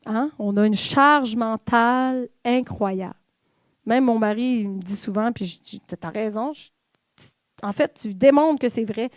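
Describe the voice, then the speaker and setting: A woman's voice: on the high side, measured pace, no echo, studio quality. A woman with a Québécois French accent giving an informal, conversational sermon in church, with fillers and the odd whispered aside. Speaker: church speaker.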